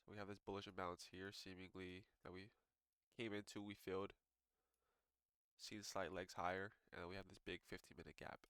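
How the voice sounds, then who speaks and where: A man's voice: low-pitched, little pitch movement, fairly quick, a bit bright, clean tone, no echo. A man with a congested, stuffy voice casually walking through trading charts, talking loosely with lots of filler words. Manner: loose and conversational, frequent "um" and "so". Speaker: day trader reviewing charts. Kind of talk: casual screen-share market walkthrough.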